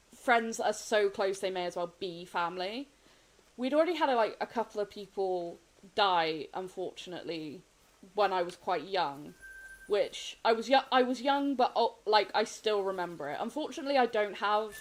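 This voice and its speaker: A woman streaming a game, sniffly, chatting candidly and rambling with frequent soft laughs. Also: medium pitch, low-quality recording. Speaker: gaming streamer. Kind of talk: casual chat while gaming.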